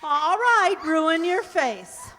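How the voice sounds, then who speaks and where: A woman's voice: very high-pitched, big pitch swings, extremely slow, bright, forceful tone, slight background noise, heavily acted. A woman acting a feisty, wisecracking young working woman in a radio comedy play, brash, slangy and emphatic. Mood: fond, brisk. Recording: echoey hall.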